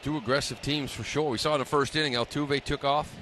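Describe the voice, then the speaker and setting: Mid-pitched male baseball radio analyst. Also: fairly even intonation, brisk, a bit bright, a little hiss or hum. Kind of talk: live radio game analysis.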